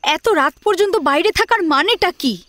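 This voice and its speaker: A woman's voice: very high voice, animated, normal speed, a bit bright, no echo. A woman playing an anxious, scolding middle-aged mother in an audio drama, talking in a fretful, urgent, questioning way. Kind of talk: Bengali audio drama acting.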